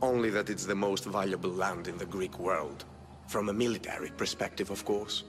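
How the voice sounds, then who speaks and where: Male actor as a scheming wealthy patron for a game: lowish, measured, condescending, long reverb on the voice. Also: lightly acted. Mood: calculating. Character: smooth-talking, calculating rich male patron hiring mercenaries. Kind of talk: video game cutscene acting. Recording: long reverb, background music.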